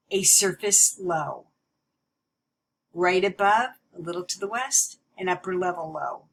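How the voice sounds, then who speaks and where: A woman giving an explanatory lecture as a teacher, in a deliberate, emphatic way that repeats key points. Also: lowish voice, a bit bright, slight background noise, poor audio. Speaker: meteorology teacher.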